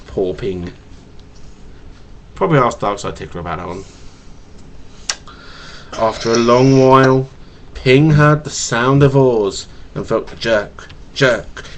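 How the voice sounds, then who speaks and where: A man's voice: mid-range voice, big pitch swings, a little slow, long pauses, a little hiss or hum. A man reading a children's picture book aloud to his child, stumbling and repeating words with a stutter. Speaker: father reading a bedtime story to his child. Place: at home, webcam recording. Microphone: webcam microphone.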